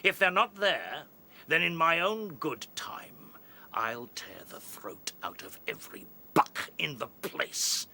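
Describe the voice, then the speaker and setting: A battle-hardened rabbit general from a radio drama. He commands with open contempt and menace, in a hard, piercing voice that swings widely in pitch. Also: very animated, takes it slowly, gravelly, sharp tone, exaggerated voice. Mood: threatening contempt.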